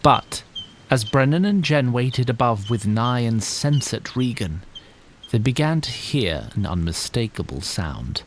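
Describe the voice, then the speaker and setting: A man's voice: on the low side, slight background noise. A man narrating an audio drama in scripted, literary, slightly wry storybook style.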